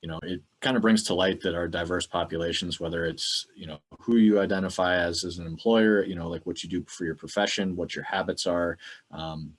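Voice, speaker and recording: Casting: male podcast co-host, very low voice, loose and informal conversational delivery. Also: breathy edge. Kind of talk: casual podcast talk.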